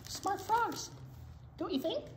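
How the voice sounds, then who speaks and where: Older lady reading to little kids, lively up and down voice, echoey room w some noise. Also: extremely high pitch. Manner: conversational asides between slow read lines. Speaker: children's storytime co-host reader. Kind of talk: reading a picture book aloud to young children. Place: indoor library storytime room. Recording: roomy sound with some background noise.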